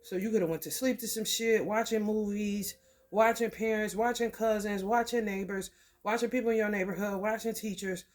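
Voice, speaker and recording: A woman from the American Midwest giving a loose, profane tarot reading. Her throat is scratchy from allergies, and she keeps clearing it and laughing between thoughts. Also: medium pitch, steady tone, normal speed, a little mellow. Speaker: tarot reader. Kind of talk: casual spiritual reading to camera.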